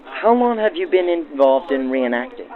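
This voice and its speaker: A man's voice: on the high side, big pitch swings, moderate pace. A man hosting on-location interviews in a polite, articulate, formal way, through a vintage 1940s radio filter. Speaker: interviewer and show host. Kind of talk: on-location interview with narrated links. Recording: tinny narrow-band old radio sound.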